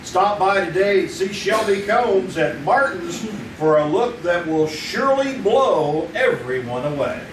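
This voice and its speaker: A man acting as the announcer of a live old-time radio show, reading a sponsor ad in a smooth, salesy way. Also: high-pitched, expressive, unhurried, hardly any pauses. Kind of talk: vintage radio announcing and ad read. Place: small theater stage, live. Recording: echoey stage from far off, noisy.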